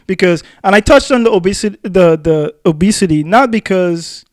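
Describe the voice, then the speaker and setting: High-voiced man debating on a podcast. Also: expressive, normal speed, darkish tone, dry recording, clean studio sound. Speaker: podcast co-host. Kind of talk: casual opinion discussion.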